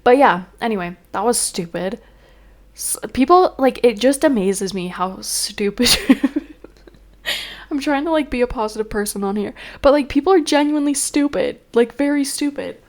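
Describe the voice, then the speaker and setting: A woman's voice: on the high side, animated, moderate pace. A young woman vlogging in a chatty, rambling way, full of fillers, a little congested and sniffling. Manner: chatty, venting, exasperated. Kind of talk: casual chat.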